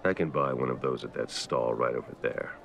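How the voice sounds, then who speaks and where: A man playing a hard-bitten mercenary gunman in a video game, dry, sardonic and threatening, with a gravelly voice. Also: very deep voice, a little fast, dull audio, a little hiss or hum.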